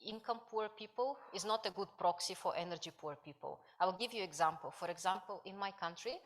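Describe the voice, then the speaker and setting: A woman's voice: mid-range voice, fairly quick. A woman from Kazakhstan, presenting research at a conference, speaking hesitantly with many fillers. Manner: hesitant, many fillers, non-native grammar. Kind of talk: academic conference talk.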